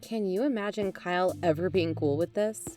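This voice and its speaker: A woman's voice: fairly low pitch, normal speed, dark tone, faint music behind, natural voice. A woman acting a blunt, pragmatic young investigator in a naturalistic audio drama, businesslike and skeptical.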